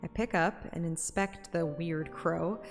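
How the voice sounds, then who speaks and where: A woman's voice: lowish voice, unhurried. A woman streaming a game, reading its story aloud like narration and chatting casually about it. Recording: game music under the voice. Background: game soundtrack music.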